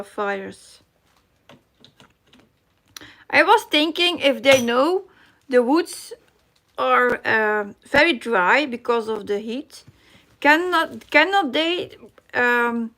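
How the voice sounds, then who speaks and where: A woman's voice: a little high, lively intonation, takes it slowly, pauses often, fairly bright tone. A middle-aged woman with a Dutch accent hosting a casual live chat, reading comments aloud and searching for her English words. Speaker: cross-stitch live stream host.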